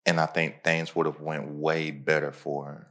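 Low-pitched male podcast host, casual and motivational. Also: level tone, normal speed, a bit bright. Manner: motivational, talks in repeated punchy phrases. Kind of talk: casual conversational podcast talk.

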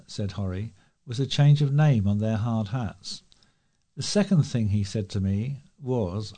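A man's voice: mid-range voice, warm tone. A man volunteer reading a local news feature aloud. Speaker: volunteer newspaper reader.

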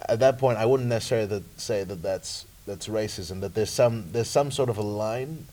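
Man, lowish pitch, thoughtful, even-handed, casual discussion. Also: normal speed. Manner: thoughtful, weighs both sides. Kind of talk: casual panel chat.

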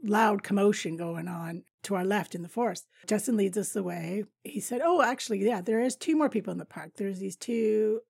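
A woman in her fifties with a raspy voice, hosting a podcast and chatting casually through a travel story, laughing often. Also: mid-range voice, moderate pace, few pauses, a little mellow, no room sound, studio quality. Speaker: podcast host.

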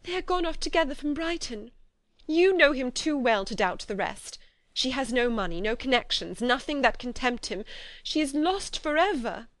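A woman reading the lines of a distraught young gentlewoman, her voice raised, high and strained with agitation. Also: brisk, no room sound, natural voice.

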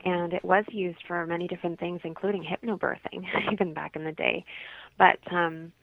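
A woman's voice: lowish voice, fairly even intonation, moderate pace, no echo. A woman explaining things informally as a guest in a radio interview, heard in dull lo-fi audio.

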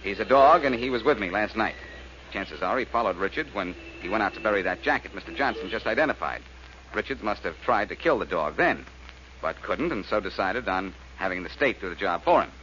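A muffled old radio recording carries a brash American detective hero. His voice is low and swings widely as he wisecracks and gives orders in 1940s radio-drama style. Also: animated, a little fast, fairly bright tone, slight background noise. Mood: urgent, insistent. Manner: cocky, commanding, wisecracking. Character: brash, quick-witted male amateur sleuth.

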